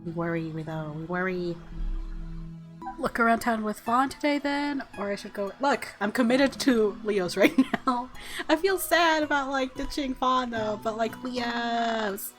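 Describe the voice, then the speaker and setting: A woman hosting a video game playthrough, reading narration aloud and gushing with excitable reactions. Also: on the high side, measured pace. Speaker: host of a gaming channel. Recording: faint music behind. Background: soft game music.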